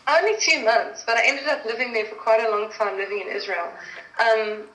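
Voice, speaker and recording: Bright, bubbly woman in an echoey room. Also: on the high side, fairly quick. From South Africa. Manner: bubbly, laughs often, chatty with restarts. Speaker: interview guest, actress. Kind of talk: casual storytelling interview.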